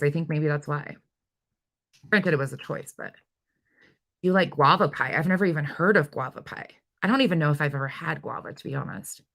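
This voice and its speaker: A woman's voice: on the low side, a bit bright. A woman chatting casually as a livestream host, informally hopping between replies to viewers. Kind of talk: casual live chat, reading and answering viewer comments.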